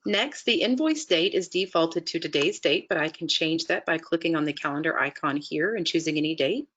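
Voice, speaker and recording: A woman narrating an instructional software demonstration in a methodical, explanatory way, step by step. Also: sits low, lively intonation, fairly quick, dull audio. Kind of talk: instructional screen-share walkthrough narration.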